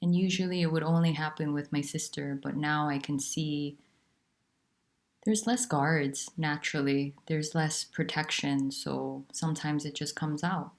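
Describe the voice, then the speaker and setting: A woman teaching about nonduality, talking in a soft, unhurried and candid way with pauses. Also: sits low, fairly even intonation. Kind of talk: reflective spiritual talk.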